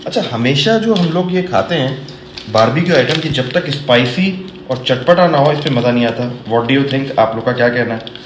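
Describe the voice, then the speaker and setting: A chatty middle-aged man cooking in his home kitchen, explaining each step to camera as he goes. His voice sits at a mid pitch and bounces around a hard, echoey room. A steady background noise runs under him. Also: normal speed. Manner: chatty, explains each step, sprinkles English phrases and Islamic blessings. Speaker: cooking vlogger. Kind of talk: casual cooking vlog to camera.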